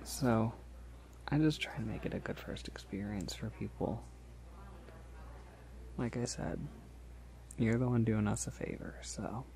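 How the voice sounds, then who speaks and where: Polite male vampire for ASMR roleplay, male actor: unhurried, slightly gravelly, gentle, hesitant, considerate. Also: fairly low pitch, steady tone, low-quality recording. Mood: earnest, slightly awkward. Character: polite, considerate, decades-old male vampire posing as college student. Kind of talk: soft-spoken ASMR roleplay.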